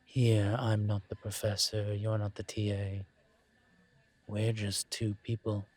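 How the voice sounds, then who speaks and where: A man voicing a suave, teasing incubus professor in an intimate audio roleplay, courteous and measured, with deliberate pauses. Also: lowish voice, little pitch movement, a little mellow. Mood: measured, gently wry. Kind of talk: intimate one-sided audio roleplay acting.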